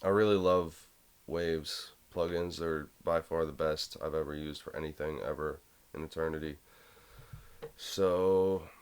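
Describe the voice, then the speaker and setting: Low-voiced young man, relaxed tutorial. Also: clear, resonant tone. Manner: relaxed, rambling, with fillers. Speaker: music production tutor. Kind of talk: casual screen-recorded tutorial.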